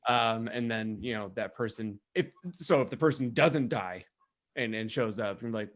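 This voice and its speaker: A man co-hosting a podcast, chatting casually and comedically, thinking aloud in a halting way. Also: lowish voice. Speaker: podcast co-host.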